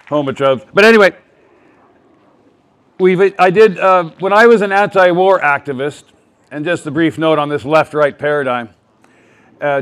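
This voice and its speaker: A man addressing a live town hall audience with a loud, emphatic voice, rambling and often restarting his sentences. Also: on the high side, clear, resonant tone. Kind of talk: public speech to a live audience. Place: public meeting with a live audience.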